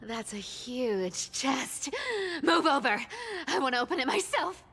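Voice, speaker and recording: Here is a sly, treasure-loving thief boss from a video game. Her high voice swoops with teasing, theatrical flair and takes its time, ringing in a long hall reverb. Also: expressive, gravelly, bright, forceful tone, husky, heavily acted. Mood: delighted. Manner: teasing, theatrical, drawn-out delivery. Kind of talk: video game character acting.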